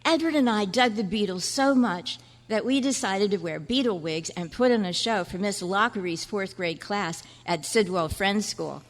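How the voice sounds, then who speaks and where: A woman's voice: medium pitch, normal speed, few pauses, lightly acted. An earnest young boy acted by a woman reminisces in chatty kid slang, in the manner of an old radio play. The voice rings through a large hall with long reverb. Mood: nostalgic, eager. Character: earnest, nostalgic fourth-grade boy. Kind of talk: old-time radio style stage acting. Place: large indoor room at a convention. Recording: echoey hall at a club meeting.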